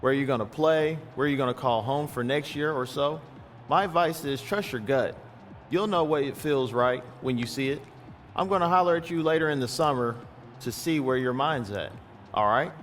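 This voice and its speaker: A man's voice: mid-range voice, normal speed, soft background music, lightly acted. A man playing a supportive, encouraging basketball teammate in a video game cutscene, talking casually with slang. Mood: warm encouragement.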